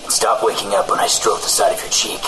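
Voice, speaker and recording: A man's voice: lowish voice, little pitch movement, fast talker, over music, rough recording. A man co-hosting a comedy radio show in character, bantering in an evasive, self-righteous way. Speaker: radio co-host.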